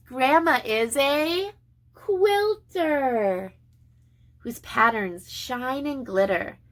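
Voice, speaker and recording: A woman preschool teacher reading a picture book aloud to young children in an expressive, sing-song way with deliberate pauses. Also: sits high.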